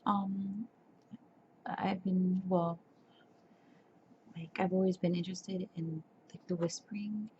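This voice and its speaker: A tired young woman talks softly and half-whispers for ASMR, with a gravelly edge and a mellow tone. She trips over words and backs up to fix them as she reads. Dull lo-fi webcam audio with faint hiss. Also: on the low side, level tone, slow pace, pauses often. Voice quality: soft, breathy. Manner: stumbles and corrects herself while reading. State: tired, sleepy. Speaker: ASMR creator. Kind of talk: soft-spoken ASMR chat and reading aloud.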